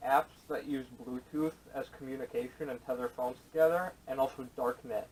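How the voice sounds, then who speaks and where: A man's voice: medium pitch, steady tone, brisk, darkish tone, slightly rough. A man debating casually on a podcast, blunt and skeptical, recorded from a distance on a camera microphone. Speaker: podcast panelist. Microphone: camera audio, away from speaker.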